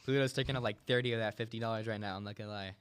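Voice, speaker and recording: A man's voice: on the low side, steady tone, rapid-fire, clean tone. A young man hosting a casual comedy chat, laughing often and rambling with many fillers. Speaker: host.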